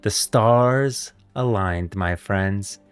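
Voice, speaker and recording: A man's voice: fairly low pitch, extremely slow, smooth, no echo, faint music behind. A man hosting a livestream, chatting informally and thinking aloud as he browses. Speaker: livestream host. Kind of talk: casual livestream chat.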